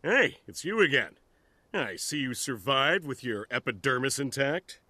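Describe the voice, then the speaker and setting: Bright, animated, teasing little fellow. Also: male voice, mid-range voice, a little slow, dry recording, exaggerated voice. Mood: amused, approving. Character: chatty, teasing male asura acquaintance, impressed. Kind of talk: scripted game cutscene acting.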